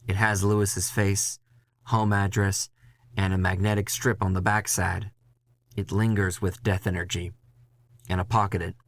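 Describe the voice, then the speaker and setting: A man reading a dramatic first-person horror story in a measured, intense way, with music behind him. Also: sits low, level tone, a little hiss or hum.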